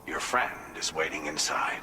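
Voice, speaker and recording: Composed president, deep voice, measured official delivery, video game, noisy reverberant sound. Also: male voice, lively intonation. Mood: formal, congratulatory. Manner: formal, measured, polite. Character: composed male president making formal official announcements. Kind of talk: scripted video game dialogue.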